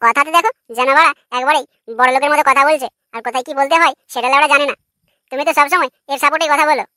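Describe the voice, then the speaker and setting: A woman's voice: sits high, steady tone, very fast, pushed and bright, no echo, clean studio sound. A woman voicing a cheeky, foul-mouthed, argumentative village boy in a comic cartoon. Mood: angry squabbling. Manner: brash, argumentative, swearing.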